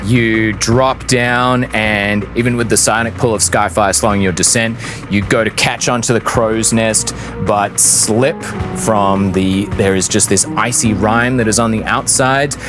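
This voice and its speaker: Slow, low-voiced man as game master. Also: fairly even intonation, music in the background. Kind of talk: narrating a tabletop role-playing game.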